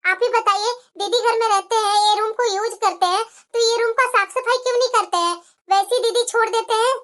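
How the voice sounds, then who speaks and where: A woman's voice: very high-pitched, steady tone, brisk, sharp tone. A woman voicing an aggrieved, dutiful young daughter-in-law in a family cartoon drama, with a complaining, pleading manner. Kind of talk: Hindi family drama storytelling.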